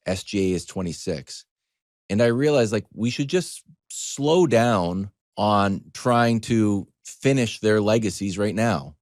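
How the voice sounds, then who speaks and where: Middle-aged man telling a wry, animated story. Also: lowish voice, dry recording, clean studio sound. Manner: animated, wry, acts out imagined dialogue. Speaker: sports talk show panelist. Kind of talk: conversational storytelling monologue.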